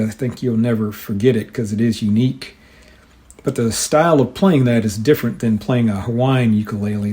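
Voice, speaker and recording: A man with a soft-edged, mellow voice at a middling pitch talks as a hobby show host. His delivery is informal and explanatory, a casual monologue that moves along a bit haltingly. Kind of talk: casual hobbyist monologue with some reading aloud.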